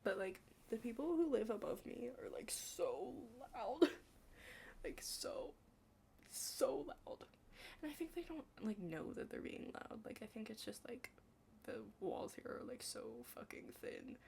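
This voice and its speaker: A young adult woman doing an ASMR roleplay as a study partner, chatting casually in a hushed, halting, rambling way with lots of "like" and some whispering. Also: fairly high pitch, sing-song, creaky, slight background noise. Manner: rambling, halting, heavy use of "like".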